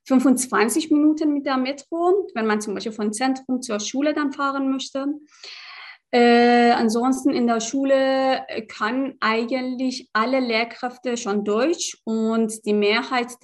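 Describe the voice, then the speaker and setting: Hesitant young woman, slightly high voice. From Iran. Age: young adult. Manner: hesitant, many fillers and restarts in a second language. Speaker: student guest. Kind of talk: casual interview answers.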